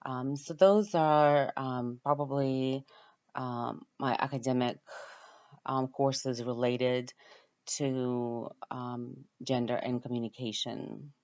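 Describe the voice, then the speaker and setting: A woman with a Ukrainian accent giving an informal self-introduction as a student, often pausing to search for her words. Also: very deep voice, steady tone, darkish tone, muffled recording. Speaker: university student introducing herself.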